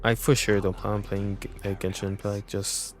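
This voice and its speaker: A man's voice: on the low side, little pitch movement, normal speed. A man chatting casually as he streams a game, rambling in informal, slangy language. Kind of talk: casual chat while gaming. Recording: game music behind voice.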